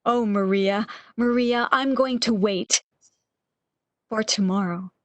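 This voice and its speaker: A woman's voice: medium pitch, slow pace, no room sound, natural voice. A woman playing a frail, fearful, lovesick woman, pleading emotionally in an amateur radio drama. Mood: resigned wonder.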